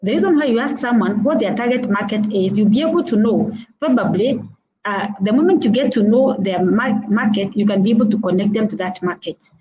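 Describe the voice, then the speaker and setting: Earnest Kenyan woman, warm mellow voice. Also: mid-range voice, animated, fairly quick, highs cut off. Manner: earnest and advising, repeating points with frequent "uh" hesitations. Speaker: motivational speaker. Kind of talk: instructive talk on networking. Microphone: video call.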